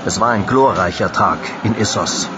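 An actor playing a proud Macedonian soldier who solemnly and fervently recounts a glorious battle in an audio drama. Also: male voice, fairly low pitch, moderate pace, over music, crowd noise, close to an everyday voice. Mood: proud, excited.

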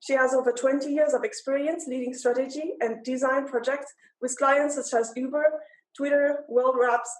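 A young woman with a very high voice reads out a formal, prepared welcome as an event host. The sound is strongly roomy, like a laptop picking her up in a big empty hall. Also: a little mellow. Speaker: event host introducing a panel. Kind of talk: scripted formal introduction. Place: large empty event hall with rows of chairs.

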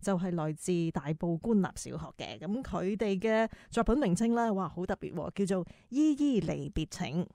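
A woman's voice: fairly low pitch, lively intonation, moderate pace, vocal fry, hardly any pauses, mellow. A woman with a Hong Kong Cantonese accent, presenting a radio show in a chatty style. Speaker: radio host.

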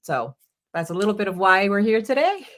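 A woman's voice: medium pitch, sing-song, a little fast, a bit bright. A woman in her forties from Sri Lanka, a guest filmmaker answering interview questions earnestly in bursts with many fillers, over a video call from a home office.

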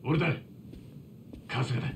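A man playing a rough, good-hearted middle-aged ex-yakuza with blunt, casual speech in a game cutscene. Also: moderate pace, close to an everyday voice. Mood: earnest. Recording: clear ambient and engine noise.

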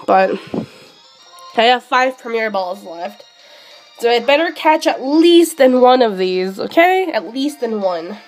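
Young woman, high-pitched, hoarse from illness, excitable, rambling, faint background music. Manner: chatty, excitable, rambling with many fillers. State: sick, losing her voice. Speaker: game streamer. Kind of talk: live shiny hunting commentary.

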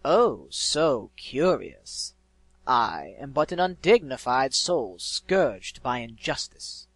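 A man's voice: medium pitch, very animated, fairly bright tone, no room sound, full character voice. A man voicing an eloquent, malevolent disembodied male spirit in a storybook, drawing out words in a theatrical coo. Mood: sly, cooing. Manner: drawn-out, theatrical, cooing.

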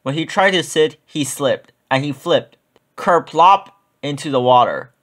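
A man narrating a children's picture book in an expressive read-aloud style. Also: on the high side, measured pace.

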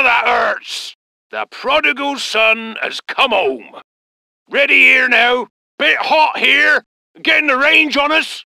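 A man's voice: very high voice, vocal fry, bright, forceful tone, dry recording, full character voice. An actor voicing a folksy, loyal British tank crewman in a war game, with rustic, clipped military phrases. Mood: alarmed, hurt. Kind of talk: short scripted game unit responses.

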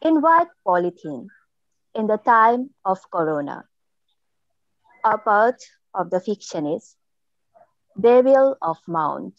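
A woman with a Bangladeshi accent, a writer presenting and reading literary work in a deliberate, measured way over a video call. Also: mid-range voice, very animated. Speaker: writer and filmmaker. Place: indoor room, on a video call. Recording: dull, roomy video-call audio.

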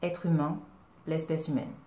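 Low-voiced young woman vlogging to camera. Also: fairly even intonation, normal speed, dark tone, echoey room. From Congo. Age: young adult. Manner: earnest, reflective, with many hesitations and rhetorical questions. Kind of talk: personal talk to camera. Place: indoors, small room, plain wall. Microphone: webcam at desk distance.

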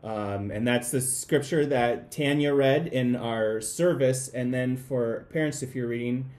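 A man's voice: mid-range voice, a little slow. 30s guy, laid-back chatty explainer, mid voice, home room, camera at desk distance. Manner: relaxed, conversational, with fillers. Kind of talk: casual explanatory chat.

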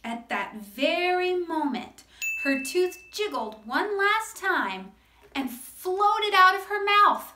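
High-voiced, unhurried woman telling stories. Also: a little hiss or hum. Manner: animated, encouraging, simple clear phrasing. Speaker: children's story time host. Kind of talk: expressive storytelling for young children. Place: small room with cloth backdrop. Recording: roomy echo, music behind.

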